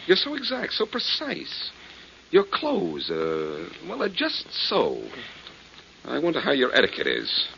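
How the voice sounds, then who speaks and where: A man's voice: on the high side, huge pitch swings, measured pace, gravelly, bright, forceful tone, full character voice. A man playing a cocky, wisecracking detective with a glib, needling manner in a 1940s radio drama. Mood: mocking menace. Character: cocky, wisecracking amateur detective, teasing and quietly menacing. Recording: muffled, noisy 1940s radio recording.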